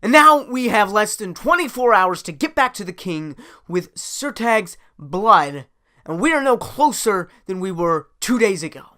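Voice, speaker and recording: A man's voice: high-pitched, big pitch swings, a little slow, bright, forceful tone, voice pushed up, full character voice. A man voicing a small winged adventure hero in a story, emphatic, urgent and determined. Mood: exasperated, then hopeful.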